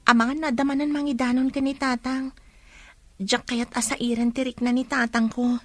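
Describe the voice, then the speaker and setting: A woman playing a gentle, hopeful young woman in love, speaking softly and earnestly in a radio drama. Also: sits high, little pitch movement, moderate pace. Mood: tender.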